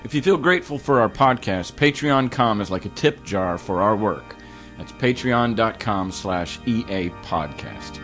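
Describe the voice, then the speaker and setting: A middle-aged man hosting a podcast, talking in an earnest, reflective way that turns halting when he speaks of personal matters. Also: on the low side, lively intonation, fairly bright tone, faint music behind. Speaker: podcast host. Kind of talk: podcast intro and interview.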